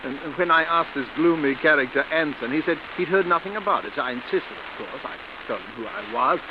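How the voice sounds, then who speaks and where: An actor playing a vain, glib, genial stage star with an affected, patronizing manner in 1940s radio drama style. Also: male voice, a little high, lively intonation, brisk, close to an everyday voice. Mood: smug chattiness. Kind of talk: 1940s radio suspense acting. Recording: muffled, hissy vintage radio recording.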